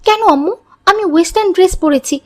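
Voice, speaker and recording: A very high-pitched teenage girl from a moral-tale cartoon, acted by a woman. She is earnest and stubborn, and states her case in careful, formal sentences. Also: fast talker, a little mellow. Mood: defiant.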